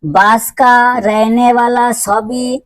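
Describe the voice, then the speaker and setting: A woman voicing a dim but earnest schoolboy in a comedy cartoon, answering in a deliberate, reciting manner. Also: on the high side, steady tone. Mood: matter-of-fact.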